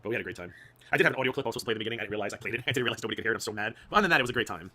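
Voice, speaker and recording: A man's voice: on the low side, animated, rapid-fire, bright, forceful tone. A man chatting as a guest on a livestream, snarky and sarcastic. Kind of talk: casual livestream chat.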